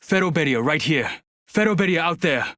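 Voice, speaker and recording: A serious female game fighter with an unusually deep voice. Her steady callouts are recorded dry and close. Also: fairly quick, no room sound. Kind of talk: video game character callouts.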